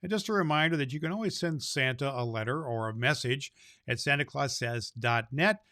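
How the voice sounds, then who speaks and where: A man's voice: medium pitch, a little fast, sharp tone, dry recording, clean studio sound. A man playing a kindly, jolly old Santa Claus, hosting a children's show in a folksy, effusive way. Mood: jolly, affectionate. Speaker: host.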